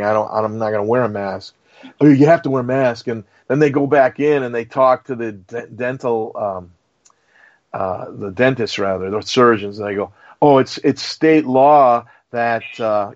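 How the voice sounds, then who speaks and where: Male co-host, casual storyteller, slightly muffled lo-fi sound. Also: medium pitch. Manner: storytelling, animated retelling. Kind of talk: casual chat. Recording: muffled lo-fi audio.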